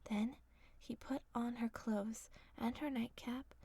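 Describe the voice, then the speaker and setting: A woman reading a fairy tale as soft ASMR narration, hushed and often slipping into a whisper. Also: a little high, fairly even intonation, slow pace, breathy edge. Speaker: storyteller.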